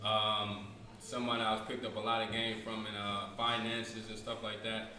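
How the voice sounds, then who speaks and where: A man's voice: lowish voice, level tone, a little slow, bright, forceful tone. Laid-back young man hosting, echoey room. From San Francisco. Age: 20s. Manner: laid-back slangy talk with many fillers. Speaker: podcast host. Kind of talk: casual podcast chat.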